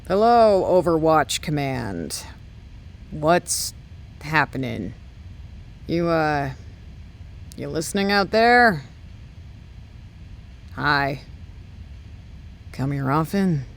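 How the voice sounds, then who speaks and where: A woman's voice: sits low, big pitch swings, very slow, creaky, long pauses, a little hiss or hum, heavily acted. A woman playing a bored, sarcastic radio operator in an audio drama, talking in a flippant, informal way.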